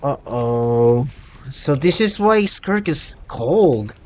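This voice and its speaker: A game streamer reacting casually, thinking aloud in a halting way. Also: medium pitch, expressive, darkish tone, slight background noise.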